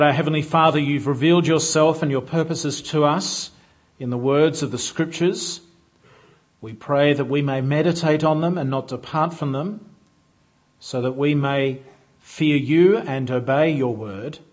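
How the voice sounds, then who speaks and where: A man preaching a sermon in a church, measured and unhurried, with frequent "uh" fillers. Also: medium pitch.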